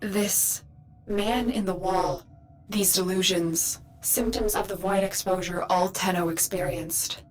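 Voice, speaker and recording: A woman playing an authoritative mentor who guides a warrior in a video game, commanding and measured. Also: medium pitch, faint music behind, close to an everyday voice, low-quality recording. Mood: concerned, questioning. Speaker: mission guide. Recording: loud noise behind the voice.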